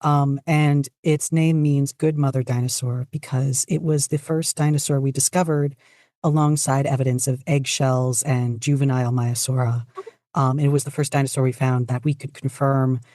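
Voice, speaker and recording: A woman's voice: very deep voice, fairly even intonation, moderate pace, warm tone, no room sound, clean studio sound. A woman speaking as a podcast guest in a casual, self-deprecating, informal way. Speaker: podcast guest, fanfiction writer and podficcer. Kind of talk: casual interview chat.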